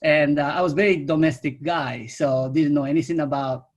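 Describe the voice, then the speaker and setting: A middle-aged man from Japan with a Japanese accent, speaking non-native English haltingly in an informal talk about himself on a video call. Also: fairly high pitch, fairly even intonation, highs cut off. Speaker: winemaker, guest speaker.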